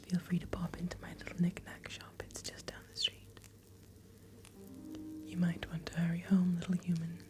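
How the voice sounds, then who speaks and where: A woman playing a courteous otherworldly shopkeeper in a soft-spoken, breathy ASMR fantasy roleplay, unhurried and gently attentive. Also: fairly low pitch, natural voice. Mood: gracious, then softly ominous, kind.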